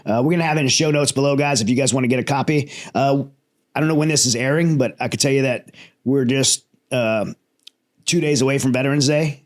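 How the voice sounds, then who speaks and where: A man hosting an interview show from his home studio, talking in an energetic, chatty way. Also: mid-range voice, quick pace. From Louisiana. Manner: energetic, friendly, many fillers and restarts. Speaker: podcast host.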